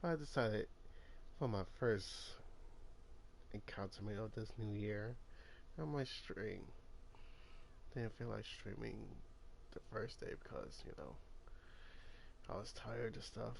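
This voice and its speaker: Slow, gravelly-voiced male streamer. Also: lowish voice, a little hiss or hum. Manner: relaxed, rambling intro with fillers. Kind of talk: casual stream chat.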